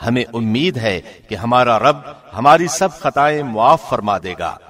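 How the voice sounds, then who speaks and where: A man reading a scripture translation from a script like a radio presenter, with formal, measured enunciation. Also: medium pitch, expressive, a bit bright.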